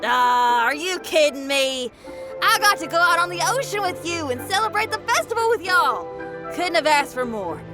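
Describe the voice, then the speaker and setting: High, exuberant young rocker. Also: female voice, sing-song, moderate pace, music in the background. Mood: elated. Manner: breezy, slangy, enthusiastic. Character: exuberant young female rock-musician partygoer. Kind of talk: anime game voice acting.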